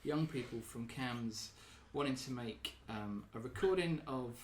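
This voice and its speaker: A man hosting a group talk in a meeting room, asking gentle questions with many hesitations and ums. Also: mid-range voice, a little slow, a little hiss or hum. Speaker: group facilitator and podcast host. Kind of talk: casual group discussion. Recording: roomy echo.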